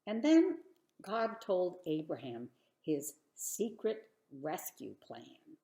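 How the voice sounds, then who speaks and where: A woman reading a children's bedtime story aloud with expressive narration, dramatic pauses and emphatic phrasing. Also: lowish voice, gravelly, reverberant.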